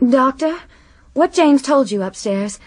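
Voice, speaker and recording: An actress playing an anxious, secretive wife confiding earnestly in a period radio drama. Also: female voice, high-pitched, lively intonation, a little slow, a little mellow, dry recording.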